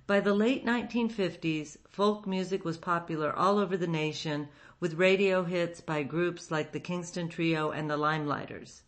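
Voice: mid-range voice, fairly even intonation, moderate pace, hardly any pauses, a bit bright. A host of an old-time radio program narrating a scripted introduction.

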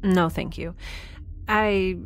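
A woman's voice: medium pitch, fairly even intonation, drawn-out words, clear, resonant tone. A woman playing a thoughtful, curious young scientist, conversational and a little hesitant, in a naturalistic audio drama. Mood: subdued, sincere.

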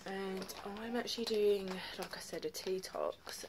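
A young woman vlogs from her living room, her phone held at arm's length. She talks slowly and chattily, full of ums and likes, and sounds a bit stuffy from a cold. Faint background noise sits under her voice. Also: mid-range voice. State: congested with a cold. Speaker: makeup vlogger. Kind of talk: casual chatty vlog. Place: home living room, indoors.

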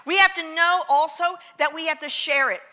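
A middle-aged woman with a New York accent giving a lively motivational talk on a theater stage, drawing the audience into call-and-response. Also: extremely high pitch, moderate pace. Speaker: keynote speaker.

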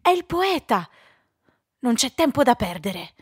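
A woman's voice: on the high side, big pitch swings, a little slow, breathy edge, dry recording, pitched above the performer's own voice, heavily acted. A protective, determined big tomcat from a storybook, voiced by a woman. He speaks earnestly and urgently, in a dry, close recording.

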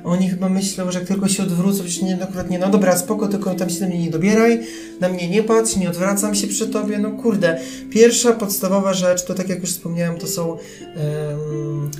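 A man's voice: sits high, fairly even intonation, normal speed, music in the background. A man chatting casually on a podcast as the invited expert, ironic and joking as he talks.

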